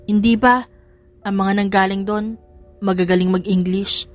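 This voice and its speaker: A woman's voice: mid-range voice, steady tone, normal speed, voice pushed down, natural voice. A woman voicing a nervous, naive young male heir with an anxious, questioning manner in an audiobook drama. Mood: worried. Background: music.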